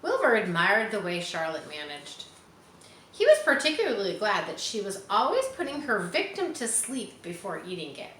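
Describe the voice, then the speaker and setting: A woman reading a children's book aloud in an expressive storytelling style, in a roomy space. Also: mid-range voice, normal speed. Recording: roomy echo with faint background noise.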